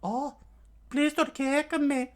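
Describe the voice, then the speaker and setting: A man's voice: extremely high pitch, little pitch movement, slow pace, voice pushed up. A man voicing a villain who imitates a cute young woman, coy, cooing and affected. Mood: coy, pleading.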